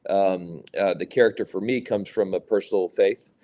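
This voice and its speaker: A middle-aged man from Louisiana, a business executive answering interview questions in a measured way, with many fillers and restarts. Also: sits low, dark tone. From Louisiana, USA. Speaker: private equity executive, guest.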